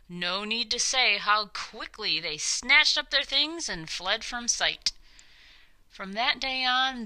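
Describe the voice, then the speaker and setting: A woman narrating a children's story expressively, with theatrical, deliberate phrasing. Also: mid-range voice, sharp tone, rough recording.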